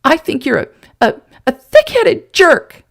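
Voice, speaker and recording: A woman voicing a stubborn, defiant young woman who speaks high and indignant. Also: wildly swooping pitch, measured pace, creaky, exaggerated voice. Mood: furious.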